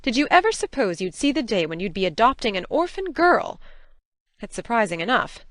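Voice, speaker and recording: Casting: actress as no-nonsense middle-aged farm spinster, scolding, animated delivery, close dry recording. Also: female voice, fairly high pitch, a little fast, no echo. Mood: wry. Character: stern, brisk, practical middle-aged spinster farm woman. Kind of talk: audiobook dramatic reading.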